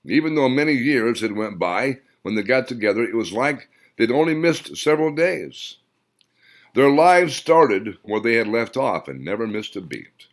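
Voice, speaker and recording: A man reading his own story aloud as audiobook narration, in an expressive storytelling manner. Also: medium pitch, moderate pace.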